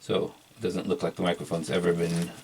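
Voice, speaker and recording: A man giving a casual product review in an unhurried, halting show-and-tell way. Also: deep voice, flat delivery, gravelly, a little mellow, slight background noise.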